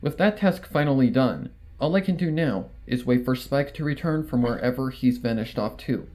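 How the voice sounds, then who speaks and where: A man's voice: medium pitch, expressive, normal speed, darkish tone. A man reading a story aloud as a scripted first-person narrator, with acted dialogue. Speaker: fan fiction reader. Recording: music bed under the reading. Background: music.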